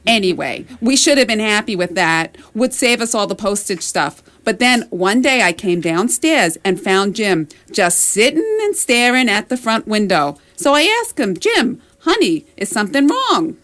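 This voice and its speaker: A woman's voice: medium pitch, sing-song, normal speed, few pauses, fairly bright tone. Woman acting a fretful, rambling wife. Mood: exasperated, rambling. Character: chatty, fretful middle-aged wife with money worries. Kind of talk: comedic radio sketch acting.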